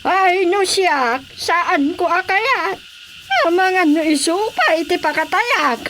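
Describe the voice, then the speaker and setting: Shrill, very high-pitched old village woman. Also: slow, background noise. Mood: protesting. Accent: Filipino. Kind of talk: radio drama comedy acting.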